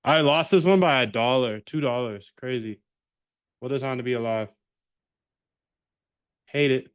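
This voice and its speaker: A man's voice: mid-range voice, unhurried, clean tone, no room sound, highs cut off. A man hosting a live coding stream, chatting loosely with viewers, joking and swearing as he works. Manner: loose, profane, joking banter with chat. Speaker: livestream host coding a trading bot. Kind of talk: casual live-stream chat.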